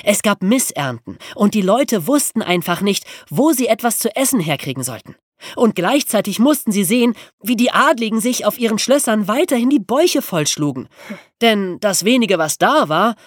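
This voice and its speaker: A woman explaining things as a podcast host in a lively educational storytelling style. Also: medium pitch, moderate pace, no room sound, clean studio sound.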